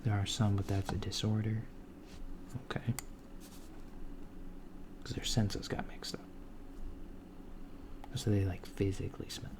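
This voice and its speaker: A man voicing a calm alien doctor in a softspoken ASMR roleplay, talking in a hushed, partly whispered way with an unhurried, hesitant manner. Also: fairly low pitch. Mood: calm clinical. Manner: unhurried, hesitant, with many fillers and murmured acknowledgments. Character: calm alien doctor examining a human patient.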